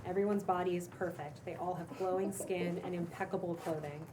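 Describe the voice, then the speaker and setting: A woman's voice: fairly low pitch, fairly even intonation, brisk. A woman narrating the stage directions of a script at a cold table read. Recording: distant mic, clearly noisy room.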